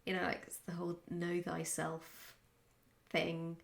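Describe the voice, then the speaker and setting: A woman chatting casually in a question-and-answer vlog, speaking in a candid, reflective and somewhat halting way. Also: lowish voice, completely flat delivery, vocal fry. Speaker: writer answering follower questions.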